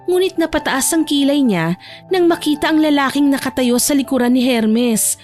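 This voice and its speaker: A woman's voice: high voice, lively intonation, normal speed, darkish tone, faint music behind. A woman narrating a romance story in a scripted audiobook style.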